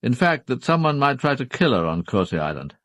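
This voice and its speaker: An actor playing a formal, upper-class British knight in a radio drama, speaking in a clipped, matter-of-fact way. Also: male voice, mid-range voice, very animated, fairly quick, fairly bright tone, dry recording, studio quality. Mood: grave, explaining. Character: formal upper-class husband, a titled knight hiring a detective.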